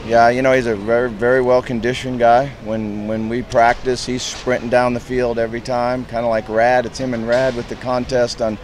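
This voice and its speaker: A man in his fifties, a head football coach, answering reporters outdoors at a stadium in a plain, measured way with lots of "you know" fillers. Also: medium pitch, little pitch movement, a little hiss or hum, rough recording. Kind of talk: post-game press interview.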